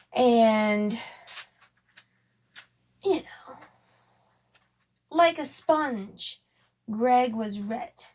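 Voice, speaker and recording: A woman co-hosting a podcast in casual conversation, rambling a little as she thinks aloud. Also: on the high side. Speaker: podcast co-host. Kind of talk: casual podcast chat about family devotions.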